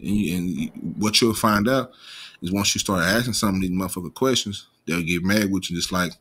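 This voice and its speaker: Male commentary host, American, lowish pitch with a sharp edge, slight stutter, casual profane street slang, unscripted. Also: normal speed, breathy edge. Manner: imperfect pronunciation of some words.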